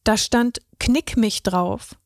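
A woman's voice: on the high side, slow pace, no echo, studio quality. Woman doing a shy little straw boy. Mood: earnest, shy. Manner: timid, earnest. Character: shy young boy drinking straw, colorful. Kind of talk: children's story reading.

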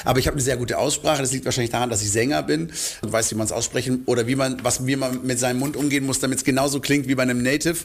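A male singer answering interview questions in a relaxed, rambling way, often correcting himself mid-sentence. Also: medium pitch, racing, creaky. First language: German. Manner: rambling, self-correcting, chatty.

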